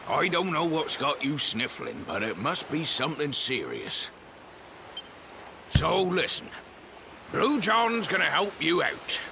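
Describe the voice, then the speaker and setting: A man playing a hearty, kindly old fisherman in a video game cutscene, with gravelly, folksy seafarer talk. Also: fairly high pitch, background noise, heavily acted. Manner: folksy seafarer talk, refers to himself by name. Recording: game music under the voice.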